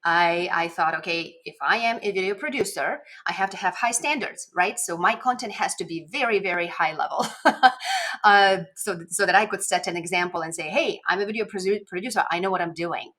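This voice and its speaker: A woman's voice: on the low side, fairly quick, a bit bright. A woman with a Lithuanian accent talks conversationally to camera as a video coach, giving encouraging tips and stressing key words. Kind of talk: casual instructional vlog to camera. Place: home office, indoors. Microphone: desk microphone at a webcam setup.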